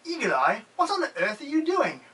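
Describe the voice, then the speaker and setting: A man playing a brisk, bossy doctor in an audio drama, theatrical and commanding. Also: very high-pitched, huge pitch swings, pushed and bright, distant mic, heavily acted. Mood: exasperated.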